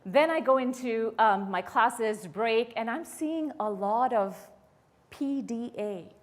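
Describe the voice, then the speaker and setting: A woman's voice: a little high, slow pace. A woman in her fifties, from Bangladesh, telling her life story to an audience as a guest speaker and asking them questions along the way. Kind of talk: personal story told to an audience.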